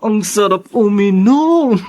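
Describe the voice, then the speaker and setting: A man's voice: high voice, darkish tone, heavily acted. A man playing a careless, hard-drinking young father in a theatrical Tagalog radio drama. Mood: smug, gleeful. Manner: exaggerated, theatrical delivery.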